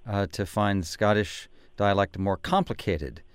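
American radio host, dry, clear and hesitant. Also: male voice, on the low side, a little slow, no room sound. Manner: hesitant, many fillers, self-correcting. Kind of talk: radio interview.

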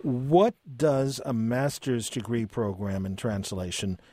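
A male radio host asks his guests curious questions and sometimes stumbles over his words. His voice sits at a middling pitch for a man. The recording is close and dry. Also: slow pace, mellow, no echo. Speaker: radio talk show host. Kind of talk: radio interview.

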